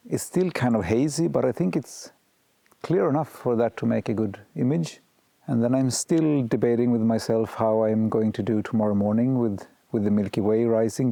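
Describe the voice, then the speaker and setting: A man with a cold and a sore throat, vlogging outdoors at night and thinking aloud with pauses and restarts. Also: mid-range voice, vocal fry, mellow, no room sound. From Sweden. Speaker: photography vlogger. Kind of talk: casual vlog narration.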